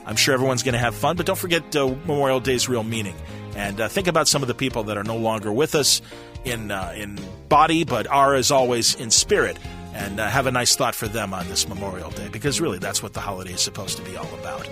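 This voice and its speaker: A man's voice: medium pitch, fast, over music. A man hosting a podcast in a casual, enthusiastic and appreciative way. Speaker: podcast host. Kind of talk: casual interview and show sign-off.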